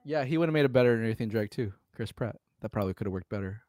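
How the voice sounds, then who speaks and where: Man, podcast co-host, lively varied pitch, dry close sound, casual, slangy, argumentative. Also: fast talker, no echo. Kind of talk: casual film chat.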